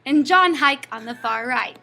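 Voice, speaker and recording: A woman's voice: high-pitched, lively intonation, normal speed, vocal fry, sharp tone. A young woman from Texas reading her school family-history presentation in a scripted recitation. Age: young adult. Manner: scripted recitation with a playful aside. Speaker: student presenter.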